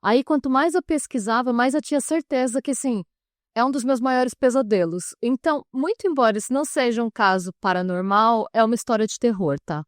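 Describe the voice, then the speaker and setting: A woman co-hosting a podcast, chatting and setting up a story in a relaxed way, with frequent asides. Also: a little high, fairly quick, no room sound, studio quality. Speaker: podcast co-host. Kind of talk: casual storytelling chat.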